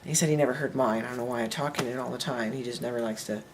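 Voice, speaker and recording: A man's voice: medium pitch, steady tone, rapid-fire, noisy background. A teenage boy chatting playfully in a put-on British accent, joking his way through a mock interview. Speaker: co-host.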